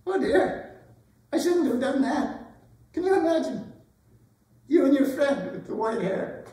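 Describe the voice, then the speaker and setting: Woman acting elderly female teacher, wistful, tender, hesitant, unhurried, stage drama, recorded at a distance. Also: high voice, expressive, warm tone, heavy reverb. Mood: fond, reminiscing. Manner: hesitant, musing, tender, asking questions. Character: gentle elderly woman, retired schoolteacher and camp survivor, wistful. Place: indoor arts center stage.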